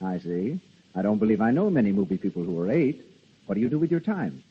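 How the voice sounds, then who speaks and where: A 1930s male radio announcer delivers scripted copy and interview questions. His voice is husky and lively in pitch, with long hall reverb. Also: quick pace, phone-quality sound.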